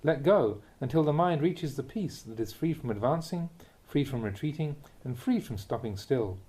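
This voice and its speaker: A man reading a Buddhist teaching aloud in measured, deliberate short phrases, in the manner of a spoken audiobook. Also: mid-range voice, animated, warm tone. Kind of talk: read-aloud dharma talk, audiobook narration.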